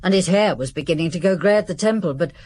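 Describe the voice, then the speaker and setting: Radio drama witness: a woman playing a low-voiced, guarded ex-servant, sharp-tongued and evasive. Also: fast talker, close to an everyday voice. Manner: wary, dry, evasive then blunt. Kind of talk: 1950s-set radio drama acting.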